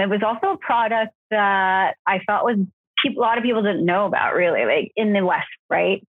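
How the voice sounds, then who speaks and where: A woman from Syria answers interview questions in an easy, conversational way, with the odd filler and restart. Her voice is husky and sits in the middle range. It comes through a dry, narrow, phone-like line. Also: medium pitch, dry recording. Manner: conversational, with fillers and self-corrections. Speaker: guest, co-founder of a soap brand. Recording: phone-like remote call audio.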